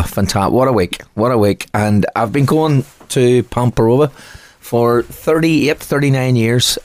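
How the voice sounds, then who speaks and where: A man's voice: fairly low pitch, no room sound. A man, a cheerful guest chatting enthusiastically and laughing easily. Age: 60s. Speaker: guest, the host's father. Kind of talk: casual chat.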